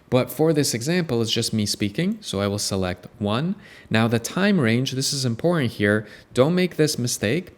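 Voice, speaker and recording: A man hosting a software tutorial, explaining each step in an upbeat way. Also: medium pitch. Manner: explanatory, upbeat, walks through steps. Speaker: tutorial host. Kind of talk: screen-recorded software tutorial.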